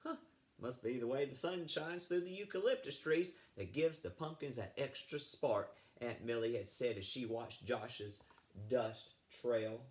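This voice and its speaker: A man's voice: medium pitch, unhurried. A man reading a picture book aloud to children, expressively, with casual asides. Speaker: read-aloud storyteller, apparently addressing schoolchildren.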